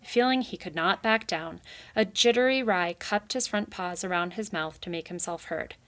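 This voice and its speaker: A woman's voice: fairly low pitch, moderate pace, fairly bright tone. Woman reading a storybook aloud. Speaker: reader. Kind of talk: storybook read-aloud narration.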